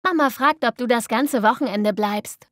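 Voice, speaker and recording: A woman plays an obliging but slightly put-upon daughter relaying messages in a language-learning dialogue. Her voice sits fairly high and is recorded very dry. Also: moderate pace, fairly bright tone, no echo, close to an everyday voice. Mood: friendly.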